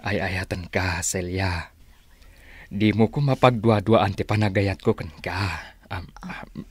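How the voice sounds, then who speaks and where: Low-voiced earnest lover. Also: male voice, slow, slight background noise. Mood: tender. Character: earnest, soothing male lover. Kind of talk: romantic radio drama acting.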